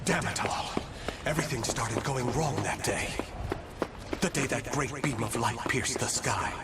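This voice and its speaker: A man's voice: mid-range voice, measured pace, heavy background noise, natural voice. An actor playing a proud, desperate male noble swordsman in a game cutscene, speaking in a haughty, aristocratic way. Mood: bitter.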